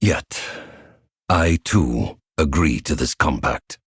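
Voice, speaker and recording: A proud, grudging elven archmage, with a profoundly deep and heavily rasping voice. He speaks coldly and formally, with weight on each phrase, in dramatic fantasy game style. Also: expressive, slow, a bit bright, no room sound, clean studio sound, exaggerated voice. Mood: stern resolve. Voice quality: deep, rough-edged.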